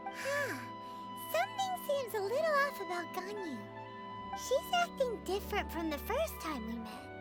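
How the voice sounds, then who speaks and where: A woman voicing a tiny, blunt, cheeky childlike fairy girl companion in a video game, with a squeaky voice. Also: huge pitch swings, unhurried, over loud music, exaggerated voice. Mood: puzzled. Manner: refers to self in third person, exclamatory. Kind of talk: animated video game voice acting.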